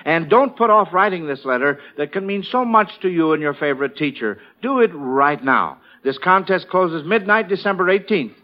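A man's voice: high-pitched, expressive, moderate pace, few pauses. An American man hosting a 1940s radio quiz show for children, with a folksy, enthusiastic showman's delivery. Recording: dull vintage 1940s radio broadcast.

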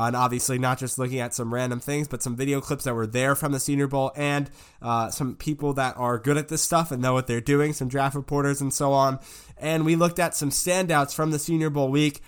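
A man's voice: a little high, fairly bright tone, clear, resonant tone. Stuffy-nosed young man hosting a podcast. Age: young adult. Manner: rambling, filler-heavy. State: stuffy nose from a cold. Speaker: podcast host. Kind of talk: casual sports podcast chat.

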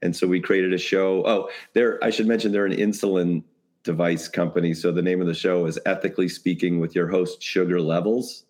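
A man's voice: low voice, little pitch movement, fairly quick, a little mellow. A man talking casually as a podcast guest, brainstorming ideas in a rambling way with jokey asides. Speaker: podcast guest, creative compliance consultant.

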